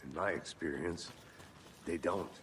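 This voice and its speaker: Very deep-voiced, deadpan weary detective. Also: male voice, measured pace, a little hiss or hum. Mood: dry, sardonic. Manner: terse, deadpan. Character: gruff, weary middle-aged male detective, dry and sardonic. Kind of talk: cinematic video game acting.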